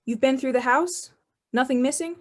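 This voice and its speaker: A woman's voice: sits high, normal speed. A young woman playing a suspicious male police inspector in a radio play, asking clipped, methodical questions in a formal way. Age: young adult. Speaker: student actor.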